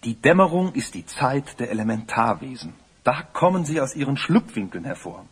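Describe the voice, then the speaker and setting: Husky, scholarly young student. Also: male voice, medium pitch, sing-song, moderate pace. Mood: earnest, scholarly. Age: young adult. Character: polite, scholarly young male student, self-important. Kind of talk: German fantasy audio play acting.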